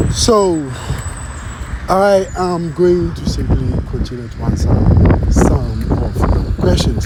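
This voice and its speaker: A man from Ghana chats to his viewers in a lively, sing-song way, his pitch leaping high and dropping low. He pads his talk with fillers and keeps asking "you understand?". Traffic and city noise sit clearly behind him. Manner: animated, repeats "you understand", many fillers. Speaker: vlogger. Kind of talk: casual talk to camera.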